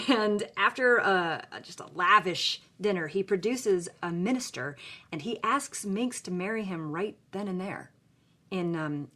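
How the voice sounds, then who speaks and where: A woman's voice: mid-range voice, a bit bright. A woman co-hosting a podcast, telling a story in a conversational narrative style. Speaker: podcast co-host. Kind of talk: conversational storytelling with read-aloud passages.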